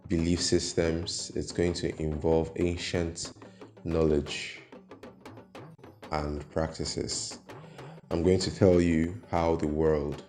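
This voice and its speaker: Deep, slow man in an echoey room. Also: mellow. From Nigeria. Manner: measured, pausing often with fillers. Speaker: YouTube host. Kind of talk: explaining folklore to viewers. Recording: echoey room with faint music.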